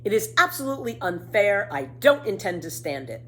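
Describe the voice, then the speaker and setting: A woman's voice: medium pitch, very animated, brisk, fairly bright tone, faint music behind. A woman voicing a bold, cheeky little girl in a children's storybook, emphatic and defiant. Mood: indignant, complaining.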